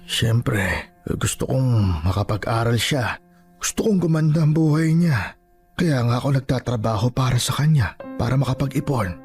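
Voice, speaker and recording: A man's voice: medium pitch, expressive, normal speed, darkish tone, faint music behind, natural voice. An actor playing a proud, poor old grandfather who works as a street vendor, in Filipino radio drama style, hesitant and pleading. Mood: earnest, defensive.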